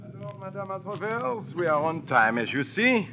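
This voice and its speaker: A dull, lo-fi old radio recording carries a vain Belgian detective with a French-speaking accent. His pitch swoops expressively as he speaks with courteous, theatrical precision, pompous and shrewd. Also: very animated, moderate pace, faint music behind. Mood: calm, courteous. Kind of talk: old-time radio mystery acting.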